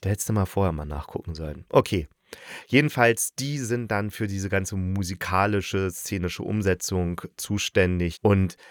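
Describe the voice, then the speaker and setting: Male podcast reviewer, lowish pitch, close dry sound. Casual, keen, colloquial delivery. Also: dry recording. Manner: chatty, enthusiastic, slangy. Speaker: podcast host reviewing radio plays.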